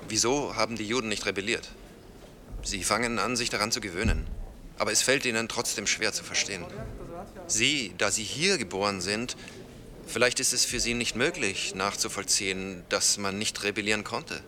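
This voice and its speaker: Sharp, bright-voiced earnest farmer. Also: male voice, fairly low pitch. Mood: earnest, reflective. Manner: halting, repeats words, reflective. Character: stocky farmer, earnest shaken father at a trial. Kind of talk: acted street interview.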